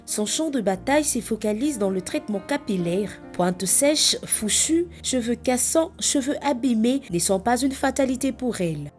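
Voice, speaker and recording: A woman narrating a television feature in a measured, declamatory way. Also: a little high, lively intonation, darkish tone, soft background music. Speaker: reporter narrating a TV segment. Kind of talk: scripted TV narration.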